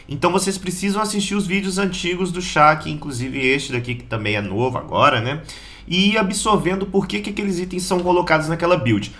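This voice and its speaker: A slightly hoarse Brazilian man giving a casual, slangy gameplay guide as host of a gaming channel. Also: a little high, pushed and bright. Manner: slangy, informal, chatty. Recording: keyboard clatter and background noise.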